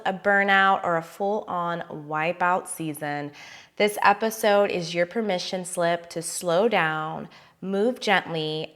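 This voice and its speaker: Bright-voiced woman hosting a wellness podcast. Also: mid-range voice. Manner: chatty, laughs at herself, drawn-out emphatic phrases. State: recovering from a lost voice. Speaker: podcast host. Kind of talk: casual wellness podcast chat.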